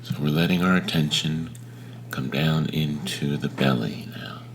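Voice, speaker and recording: A man leading a guided meditation in a gentle, unhurried manner with long pauses between phrases. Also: rumbling low, gravelly, a little hiss or hum. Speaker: meditation teacher.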